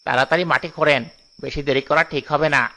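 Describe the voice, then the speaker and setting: A man's voice: fairly high pitch, steady tone, rapid-fire, bright, forceful tone. A man voicing a bold, scheming thief's sidekick in a cartoon, conspiratorial and urging. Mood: hurried. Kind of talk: cartoon dialogue.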